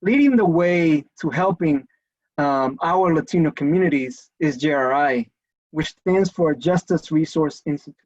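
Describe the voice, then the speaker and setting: A man's voice: sits high, darkish tone, muffled recording. A man moderating a virtual panel, speaking earnestly with fillers and restarts. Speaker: event host. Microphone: video call.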